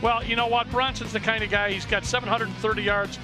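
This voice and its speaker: A man's voice: high-pitched, steady tone, fast, music in the background. A man giving folksy, conversational color commentary on a football game, often repeating his phrases. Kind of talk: conversational sports analysis. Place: sports broadcast at an outdoor stadium.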